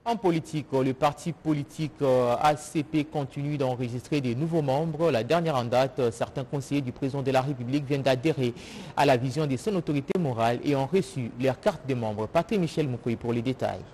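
A man's voice: mid-range voice, a little fast, a little mellow. A man in his thirties with a Congolese accent, presenting the news as an anchor in a TV studio. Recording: clear background noise.

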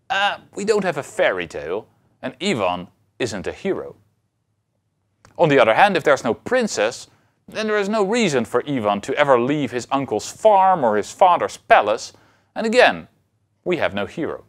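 Male lecturer, thirties, highly animated melody, deliberate emphatic phrasing, explanatory delivery. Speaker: university philosophy lecturer.

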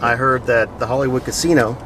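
A man's voice: mid-range voice, steady tone, quick pace, over music. A middle-aged man riding along in a car, bantering playfully and laughing through his jokes. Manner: joking, playful, laughs mid-sentence. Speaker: friend on road trip. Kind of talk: casual banter. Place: passenger seat of a car.

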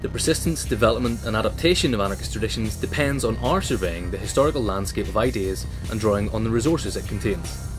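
Quick-paced man narrating nonfiction, lowish voice. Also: lively intonation, over music. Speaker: audiobook reader. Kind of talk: scripted narration of a political history essay.

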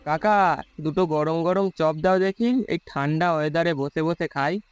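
Man voicing a high-pitched, brash village lad. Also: a little slow, clean tone, no room sound, muffled recording, soft background music, close to an everyday voice. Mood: breezy, dismissive. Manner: dismissive, breezy. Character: brash, carefree young village man, teasing friend. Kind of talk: cartoon story voice acting.

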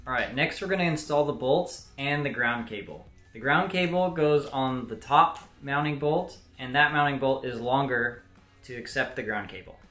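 A man's voice: medium pitch, moderate pace, a bit bright, reverberant, soft background music. A young man in his twenties, a host walking viewers through a repair step by step, talking to the camera in a home garage. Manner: plain step-by-step instructing. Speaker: mechanic host. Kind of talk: how-to repair walkthrough. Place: home garage workshop, indoors.

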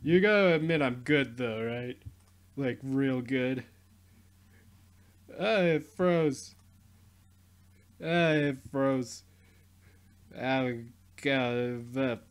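A young man talks slowly over his screen recording, drawling through loose, joking gameplay commentary. He rambles and brags with mock pride at a relaxed pace, his voice sitting in a middle pitch. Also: clean tone. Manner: rambling, mock-boastful, self-mocking. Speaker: YouTuber.

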